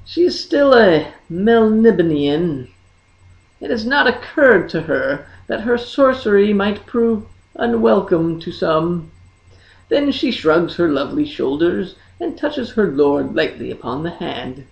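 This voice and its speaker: Slow, theatrical woman narrator in an echoey room. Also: lowish voice, expressive, vocal fry, lo-fi sound. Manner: theatrical, deliberate phrasing with dramatic pauses. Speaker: audiobook narrator. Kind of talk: dramatic scripted fantasy narration.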